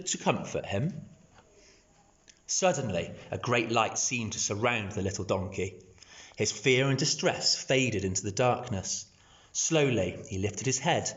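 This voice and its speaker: A man's voice: on the low side, lo-fi sound. A man, a teacher, reading a children's storybook aloud as narration.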